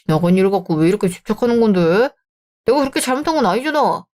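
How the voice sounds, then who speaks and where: A woman's voice: medium pitch, animated, fast, a little mellow, natural voice. Woman putting on a defensive young fiancé. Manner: defensive, dismissive. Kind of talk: radio drama acting.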